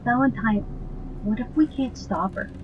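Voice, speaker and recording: A woman playing a cautious, anxious, loyal partner in an audio drama, speaking earnestly and in a measured way. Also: a little high, close to an everyday voice. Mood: worried. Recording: slightly dull sound with faint noise.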